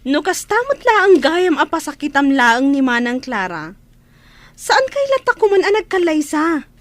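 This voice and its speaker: An actress with an Ilocano accent playing a principled younger sister with a pointed, reproachful manner in a radio drama. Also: female voice, sits high, very animated, moderate pace. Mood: reproachful, pleading. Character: principled, outspoken younger sister scolding her elder brother.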